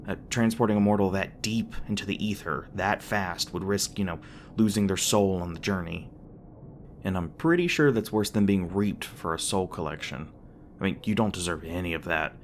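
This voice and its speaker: A man's voice: deep voice, moderate pace, slight background noise. A man playing a young demon boyfriend in an ASMR roleplay, speaking casually with teasing jokes, sweetness and hesitant asides. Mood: earnest, explaining.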